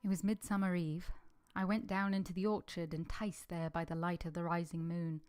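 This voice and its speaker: A woman's voice: on the low side, little pitch movement, moderate pace, a little mellow, faint music behind, lightly acted. A woman playing an earnest, humble but passionate young governess in formal literary language, in a vintage-style radio drama. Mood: wistful narrating.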